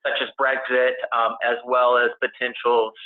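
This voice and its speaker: A man giving a technical investment presentation on a conference call, speaking in a halting, hedging way as an analyst. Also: mid-range voice, little pitch movement. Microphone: conference call line. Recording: tinny phone-line conference call audio.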